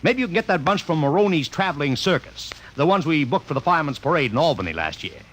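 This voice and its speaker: A man's voice: fairly high pitch, very animated, quick pace, fairly bright tone. An actor playing a brash, slangy American publicity man in a mid-century radio drama, full of breezy salesman patter. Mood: brisk, bossy. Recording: noisy old radio broadcast recording.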